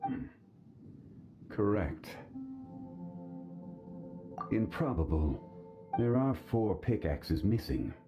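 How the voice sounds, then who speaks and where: A man playing a calm, erudite gentleman consultant in a video game, reasoning in a measured, formal way. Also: fairly low pitch, over music, exaggerated voice. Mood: pensive, analytical. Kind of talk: video game character acting.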